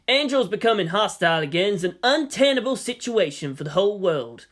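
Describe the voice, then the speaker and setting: A narrator playing a weary male army general, formal and measured, who reasons and pleads firmly. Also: medium pitch, very animated, a bit bright, voice pushed up. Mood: measured, reasoning. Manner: measured, official, placating.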